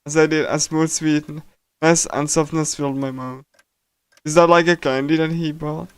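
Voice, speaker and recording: A man livestreaming a game, casually commenting and reading aloud in a halting way, often repeating himself and stumbling. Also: on the high side, little pitch movement, clean tone, no echo. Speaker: streamer. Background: game music.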